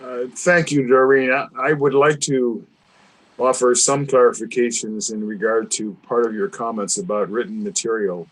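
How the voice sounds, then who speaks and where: A man's voice: mid-range voice, steady tone, moderate pace, rough recording. Formal man hosting a video call. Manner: formal, precise, lecturing. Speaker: webinar host. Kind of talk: measured discussion on a video call.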